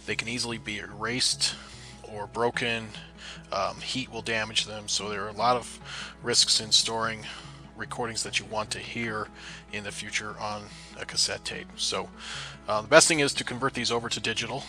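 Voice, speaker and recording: A man's voice: lowish voice, steady tone, moderate pace, sharp tone, faint music behind. A man giving a casual, step-by-step tutorial narration in a plain instructional way. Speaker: presenter of a genealogy tips tutorial.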